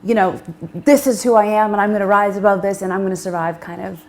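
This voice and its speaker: Brief: enthusiastic woman singer guest from Toronto, medium pitch. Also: quick pace. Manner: animated, enthusiastic storyteller. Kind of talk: casual interview chat.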